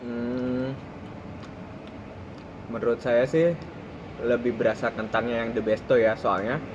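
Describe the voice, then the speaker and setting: A man's voice: medium pitch, fairly even intonation, slow pace, over music. A man in his twenties answering as a respondent in a taste test, hesitant and searching for words. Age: 20s. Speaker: study respondent. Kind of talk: casual spoken answers. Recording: dull recording with room noise.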